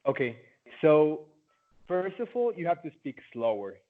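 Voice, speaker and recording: A man's voice: on the high side, sing-song, a little slow. A young man in his late teens from Mexico with a Mexican Spanish accent, a native Spanish speaker, answering interview questions in a loose, chatty way full of "like" fillers, heard over a video call. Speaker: interviewee, former student. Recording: tinny phone-like line.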